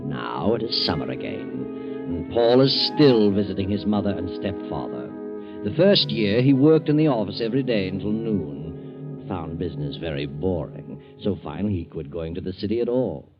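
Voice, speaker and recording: An actor playing a sardonic, ominous mystery storyteller, narrating deliberately and wryly in 1940s radio style. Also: male voice, low voice, big pitch swings. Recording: muffled 1940s radio, loud music underneath.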